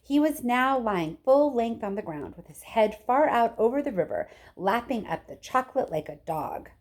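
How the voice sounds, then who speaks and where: Animated woman reading a children's story. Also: a little high, moderate pace. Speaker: storybook reader. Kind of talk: expressive children's book narration.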